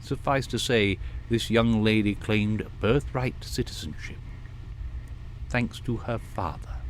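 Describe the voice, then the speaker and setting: A man playing a formal, fatherly senior starship commander with a measured, tactful manner in a sci-fi audio drama. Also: fairly low pitch, slight background noise. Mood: gentle, measured. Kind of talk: science fiction audio drama acting.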